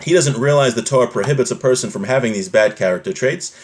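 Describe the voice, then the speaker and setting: Male religious instructor, mid-pitched voice, didactic delivery, moving between reading a text and explaining it in plain rephrasings. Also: fast talker. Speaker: religious teacher. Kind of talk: live class, reading a text and translating and explaining it.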